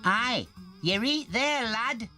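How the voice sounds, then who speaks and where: A man's voice: very high voice, animated, takes it slowly, bright, forceful tone, pitched above the performer's own voice, full character voice. A man voicing a hearty old male elephant with a Northern English accent who sniffs and speaks bluntly, over background music. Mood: hearty folksy. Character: cheerful elderly male elephant, ex-coal-mine worker.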